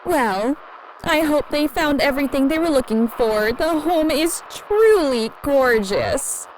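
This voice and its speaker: An actress playing an eerily cheerful, inhuman realtor in an audio drama, answering with polite, evasive sales patter. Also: female voice, high voice, animated, slow. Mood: pleasant, evasive. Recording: noisy interview recording with ambience.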